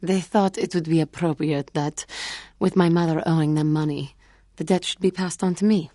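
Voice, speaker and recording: An actress playing a proud but guilt-haunted female feline alien warrior in a video game, speaking formally and earnestly, with halting care. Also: sits low, steady tone, warm tone, dry recording, studio quality. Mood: bitter, sorrowful. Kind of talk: scripted video game character dialogue.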